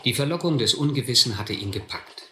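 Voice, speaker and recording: A man's voice: mid-range voice, animated, fairly quick, sharp tone, reverberant, a little hiss or hum. An actor playing a reflective narrator who recalls his vagabond youth in a German radio drama. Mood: reflective, grave. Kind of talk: scripted first-person narration in a radio drama.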